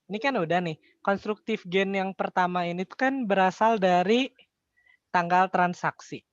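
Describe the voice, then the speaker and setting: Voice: medium pitch, no echo. An Indonesian-accented tutor talks in a casual, colloquial way, walking through material step by step. Rhetorical questions break up the explanation. The sound is dry and slightly dull, with very little room in it. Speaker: accounting tutor.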